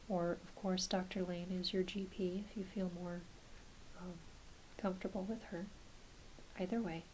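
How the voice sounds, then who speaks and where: A woman playing a gentle, reassuring doctor in an ASMR roleplay, speaking softly and hesitantly, often dropping to a whisper. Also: mid-range voice, lightly acted, rough recording. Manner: hesitant, unhurried, apologetic and reassuring. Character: gentle, reassuring female doctor examining a werewolf patient. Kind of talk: soft-spoken ASMR medical roleplay. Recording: constant soft background hiss.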